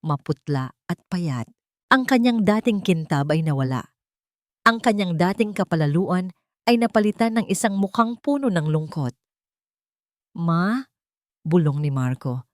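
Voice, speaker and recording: Female storyteller, steady dramatic narration, low pitch, dry close mic. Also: no echo.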